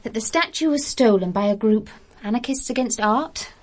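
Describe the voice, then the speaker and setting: Casting: a defiant, arty young woman for radio drama, fairly high voice with lively intonation, articulate and cool. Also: moderate pace. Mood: concerned.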